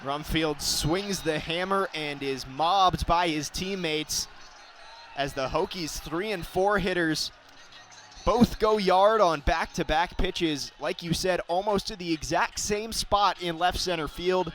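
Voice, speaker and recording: High-pitched young male baseball play-by-play announcer. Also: takes it slowly, soft background music. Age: young adult. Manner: descriptive, excited on big plays. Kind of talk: live baseball radio play-by-play commentary.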